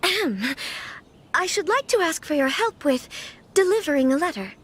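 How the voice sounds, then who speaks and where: A woman's voice: high-pitched, big pitch swings, moderate pace. A woman playing a young noblewoman in a video game; she speaks formally and courteously, with small nervous stammers now and then. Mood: earnest.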